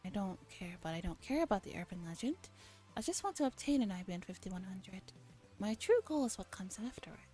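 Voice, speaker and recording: A woman streamer reading a visual novel aloud in a soft, gentle ASMR narration style. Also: medium pitch, expressive, brisk. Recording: background music under voice. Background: soft background music.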